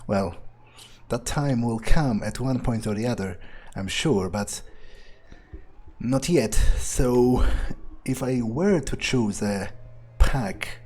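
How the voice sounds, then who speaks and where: A man playing a cynical, foul-mouthed vampire in an improvised game roleplay, husky and halting, muttering scornfully. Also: medium pitch, lively intonation, darkish tone. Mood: brooding, dismissive. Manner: halting, muttering, profane, sardonic.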